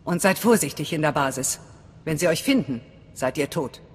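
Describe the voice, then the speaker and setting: Strenge Widerstandsführerin mit langem Hall. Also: female voice, sits low, lively intonation, moderate pace, slightly rough. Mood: commanding. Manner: commanding, matter-of-fact. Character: stern, guarded female resistance cell leader. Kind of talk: dramatic video game dialogue in German. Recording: long hall reverb. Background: background music.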